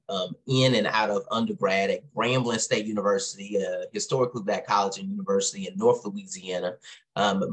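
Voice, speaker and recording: Texan man, 30s, low voice, earnest guest speaker on a video call, lots of ums. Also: a bit bright, rough recording. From Texas. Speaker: nonprofit co-founder, guest speaker. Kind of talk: webinar talk. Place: small room at home.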